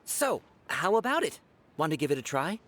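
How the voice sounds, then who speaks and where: A man's voice: a little high, very animated, moderate pace, a bit bright, a little hiss or hum. A man voicing an eager, affable, slightly bumbling fortune-teller at a market stall in a video game. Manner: salesman-like, theatrical, hesitant when unsure. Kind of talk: video game character acting.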